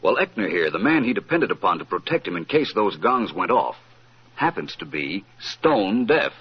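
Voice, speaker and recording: A man's voice: fairly low pitch, very animated, moderate pace. An actor playing a suave, assured male detective, courteous but probing, in 1940s radio drama style. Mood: confident. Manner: polished, courteous, incisive. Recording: muffled, noisy old radio recording.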